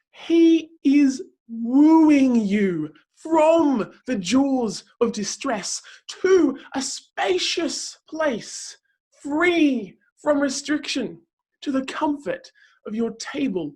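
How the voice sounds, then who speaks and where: A woman playing a zealous counselor who proclaims God's might in a declamatory, dramatic scripture reading with deliberate pauses. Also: on the high side, warm tone. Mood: fervent, urging.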